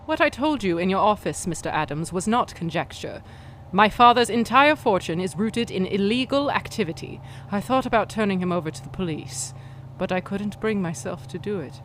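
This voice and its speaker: An actress playing a cunning, defiant female vigilante in a pulp radio drama, with formal, deliberate, menacing phrasing. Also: medium pitch, lively intonation, fairly bright tone, a little hiss or hum, lightly acted, rough recording. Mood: guarded, confessing.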